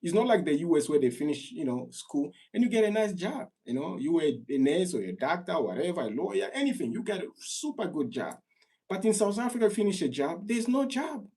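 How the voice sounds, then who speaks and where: A man from Congo hosts a live stream and answers callers on immigration in a husky, mid-pitched voice. He talks earnestly and repeats his points. When he gets worked up, his voice climbs high and gets louder. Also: very animated, fairly quick.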